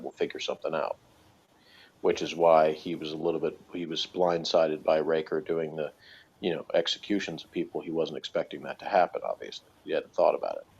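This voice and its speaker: Low-voiced man thinking aloud, dull recording. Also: darkish tone. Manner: hesitant, tentative, thinking aloud. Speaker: guest reviewer discussing TV characters. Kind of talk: casual analytical chat.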